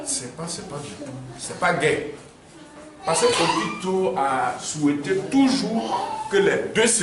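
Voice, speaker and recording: A man tells the story of a church quarrel, his intonation rising and falling a lot as he circles back over points. He sits some distance from the mic in an echoey room, with steady background noise underneath. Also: medium pitch. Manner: animated storytelling with repetitions. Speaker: church member recounting a dispute. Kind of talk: spontaneous spoken account. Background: ambient room noise.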